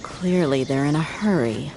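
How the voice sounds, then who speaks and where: Low-voiced, cool mercenary. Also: female voice, measured pace, background noise. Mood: confident. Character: cool, confident young mercenary woman, expert tracker. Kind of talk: video game voice acting.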